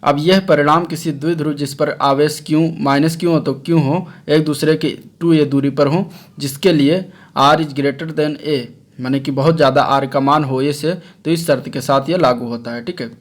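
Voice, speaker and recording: A man's voice: on the high side, steady tone, normal speed. A man teaching science for exam preparation, explaining from notes in a didactic way with frequent check-in tags. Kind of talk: explanatory lecture read from notes.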